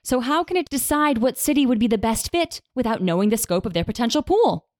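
A woman's voice: fairly high pitch, animated, a little fast, no room sound, studio quality, natural voice. A woman playing an assertive advocacy leader on a radio debate panel, articulate and lawyerly in her arguing. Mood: assertive, defensive.

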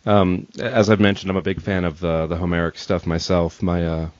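A man's voice: low-pitched, flat delivery, normal speed, a little mellow, no echo. A man hosting a podcast interview in casual, informal and somewhat hesitant phrasing. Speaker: podcast host. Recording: dry, slightly dull podcast audio.

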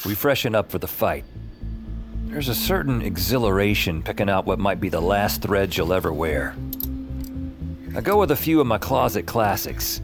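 A man's voice: lowish voice, very animated, moderate pace, over music. An actor playing a wry, world-weary adventurer who narrates his own story in an audio drama with slangy lines. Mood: wry, resigned. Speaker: narrator and lead character. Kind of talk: first-person scripted narration in an audio drama.

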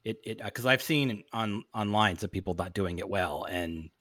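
A man talking as a guest in a casual podcast interview, animated and halting, often starting a phrase over. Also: on the low side, no echo.

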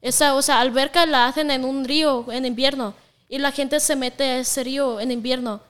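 A woman's voice: high-pitched, steady tone, normal speed, fairly bright tone. A young woman from Russia, a native Russian speaker, chatting frankly as an interview guest with lots of fillers. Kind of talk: casual interview chat.

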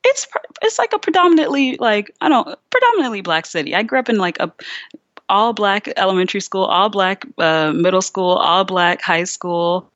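A young woman from Virginia chatting candidly as a podcast guest, peppering her talk with "like" and quick restarts. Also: medium pitch, animated, fairly bright tone, no room sound. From Richmond, Virginia, USA. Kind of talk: casual interview conversation. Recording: slightly dull, lo-fi sound.

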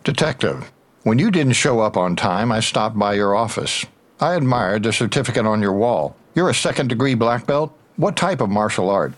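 An actor playing an officious police detective who interrogates with formal, pressing, insinuating questions in an audio drama. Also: male voice, on the low side, animated, moderate pace. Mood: officious, smug. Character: needling, officious male internal-affairs detective interrogating a colleague.

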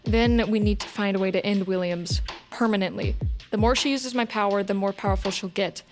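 Resolute, vengeful heroine played by an actress, music behind. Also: female voice, mid-range voice, fairly even intonation, fairly quick, lightly acted. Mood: grim resolve. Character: wronged, vengeful woman with powers, rallying allies. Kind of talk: audio drama acting.

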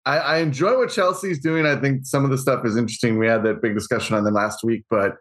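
Male podcast co-host, casual and halting, restarts a lot, laughs through lines, self-mocking. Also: mid-range voice, fairly even intonation, a bit bright. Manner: halting, many restarts, laughs while talking. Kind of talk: casual sports chat.

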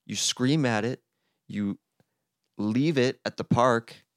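A man's voice: medium pitch, slow, no echo, clean studio sound, pitched above the performer's own voice, lightly acted. A man voicing a blunt, exasperated frog in a comic storybook reading, scolding and coarse. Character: bossy, blunt male frog, Toad's exasperated friend.

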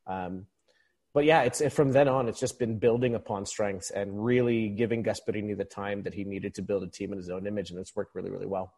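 Male, 30s, from the Philippines, lowish voice, casual football podcast guest. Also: fairly even intonation, fairly quick, darkish tone. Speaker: podcast guest, football supporters' club member. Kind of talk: casual conversational sports commentary.

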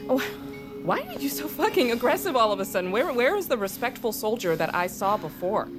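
An actress playing a married female army drill sergeant in an audio drama, stern and profane but halting and flustered. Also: on the high side, sing-song. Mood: indignant, flustered. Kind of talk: realistic audio drama acting. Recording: music under the voice.

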